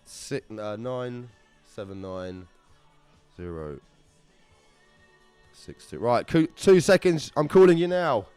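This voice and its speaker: Brief: male stream host, smooth and clear, chatty and excitable, medium pitch. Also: takes it slowly, pauses often, faint music behind. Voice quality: upbeat, chatty, slangy. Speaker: host of a live car prize draw. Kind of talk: casual live-stream chat.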